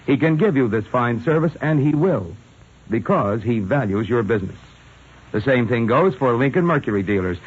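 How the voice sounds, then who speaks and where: A man announcing a scripted 1940s radio commercial in a husky voice. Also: mid-range voice. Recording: muffled vintage radio recording.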